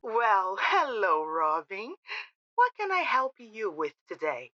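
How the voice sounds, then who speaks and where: Woman acting gushing receptionist, animated, dull phone sound. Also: mid-range voice, slow, no room sound, heavily acted. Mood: bright, welcoming. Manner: effusive, sales-like, syrupy familiarity. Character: gushing, saccharine woman receptionist for a secretive organization. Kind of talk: audio drama acting.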